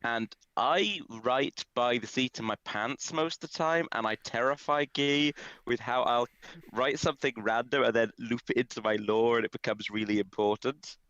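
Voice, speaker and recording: A man's voice: mid-range voice, moderate pace, fairly bright tone, no echo. A man chatting on a creators' livestream as a co-writer, joking wryly about his own writing habits. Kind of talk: casual livestream chat. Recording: dull, lo-fi mic.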